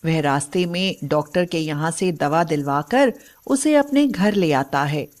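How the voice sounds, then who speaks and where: A woman's voice: sits low, animated, a little slow, darkish tone. A woman narrating a scripted story as its storyteller.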